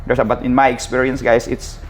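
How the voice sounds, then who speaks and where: A man with a Filipino accent giving an informal hands-on equipment tutorial, speaking haltingly and repeating himself as he addresses viewers casually. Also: mid-range voice, level tone. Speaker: seaman presenting a tutorial. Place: ship's bridge. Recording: clear ambient background noise.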